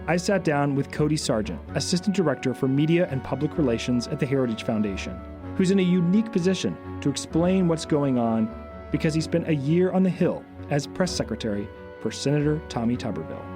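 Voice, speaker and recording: A man hosting an interview, asking measured, friendly questions and introducing his guest. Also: fairly high pitch, expressive, over music. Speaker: interviewer, podcast host.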